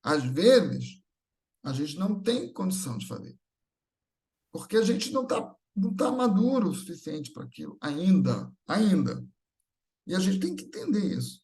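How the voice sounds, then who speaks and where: A man's voice: high voice, very animated, moderate pace, a little mellow. A man with a Brazilian accent giving a conversational spiritual talk, teaching with repeated phrases, rhetorical questions and check-in tags. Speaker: speaker at a Spiritist gathering.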